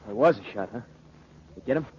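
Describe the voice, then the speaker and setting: An actor playing a junior police guard in 1940s radio drama style. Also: male voice, medium pitch, expressive, fast talker, natural voice. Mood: shaken. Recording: muffled, noisy 1940s radio recording.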